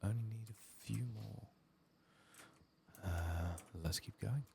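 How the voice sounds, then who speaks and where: Casting: male ASMR gamer, extremely low fry-heavy voice, hushed commentary, slight background noise. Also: slow pace. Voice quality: soft, whispery, gravelly. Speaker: gaming streamer and host. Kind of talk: soft-spoken ASMR game playthrough commentary.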